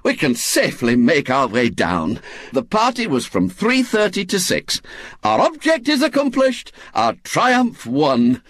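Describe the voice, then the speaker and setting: A man voicing a brisk, mischievous older woman who feigns innocence. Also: on the high side, huge pitch swings, fairly bright tone, no echo, heavily acted. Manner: gleeful, falsely innocent, brisk.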